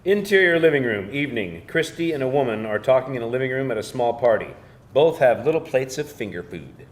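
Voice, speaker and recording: A man's voice: medium pitch, lively intonation, brisk. A man reading scene headings and stage directions as narrator of a screenplay table read.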